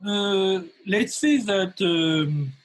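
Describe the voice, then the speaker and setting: A man's voice: high-pitched, lively intonation, drawn-out words. A man with a French accent hosting a webinar, speaking hesitantly with frequent fillers. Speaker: host. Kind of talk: webinar conversation.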